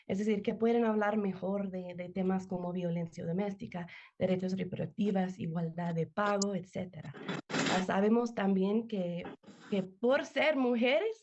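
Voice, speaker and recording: Give me a mellow-voiced woman from the US, a guest speaking a second language. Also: medium pitch, muffled recording. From United States. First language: English. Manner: careful, searching for words, frequent fillers. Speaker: interview guest, nonprofit director. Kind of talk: interview answers over a call. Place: home. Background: children playing.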